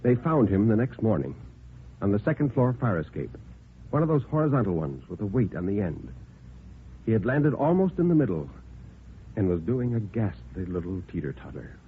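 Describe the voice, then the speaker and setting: A man narrating a story as a 1950s radio storyteller, with theatrical, suspenseful, deliberate phrasing. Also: on the low side, sing-song, a little hiss or hum. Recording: tinny band-limited vintage radio recording.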